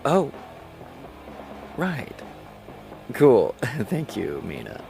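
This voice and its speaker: A man playing an awkward, self-doubting director in his thirties, halting and self-berating, in an audio comedy-drama. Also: mid-range voice, wildly swooping pitch, gravelly, mellow, soft background music, heavily acted.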